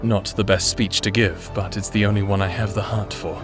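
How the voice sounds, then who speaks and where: An actor playing a brooding, vengeful necromancer in an audio roleplay, speaking solemnly with theatrical pauses. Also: male voice, on the low side, fairly even intonation, natural voice. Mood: brooding, resolute. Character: brooding, vengeful male necromancer, grieving and lovelorn. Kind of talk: anime-style audio roleplay acting, dramatic monologue. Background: music.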